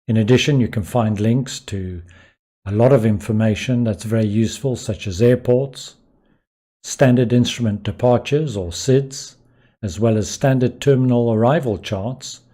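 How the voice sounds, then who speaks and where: Male tutorial presenter, mid-pitched and slightly rough-edged, explaining step by step in voice-over. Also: mellow. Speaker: flight simulation tutorial host. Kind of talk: instructional voice-over narration.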